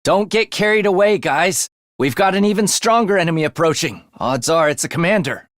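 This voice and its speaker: A man's voice: sits high, animated, fairly quick, fairly bright tone, no room sound, clean studio sound. A man voicing an eerie, bloodthirsty young male student in a video game, with a sinister, theatrical manner that savors morbid details. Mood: sly, determined. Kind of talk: video game character voice acting.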